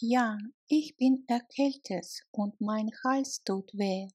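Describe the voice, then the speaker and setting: A woman teaching short phrases at a very slow, deliberate pace, splitting each one into small pieces before repeating it whole. Her voice is husky and a little breathy. The sound is dry and close. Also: fairly high pitch, a little mellow, no echo. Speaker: language teacher. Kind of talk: slow phrase drill with translations.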